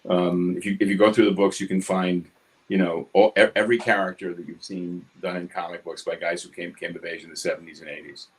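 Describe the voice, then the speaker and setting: A man in his seventies from New York City with a New York accent, talking casually about his craft in his home studio, quick and succinct, hitting consonants hard. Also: low-pitched, level tone. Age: 70s. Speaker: guest artist. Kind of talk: casual studio chat while drawing.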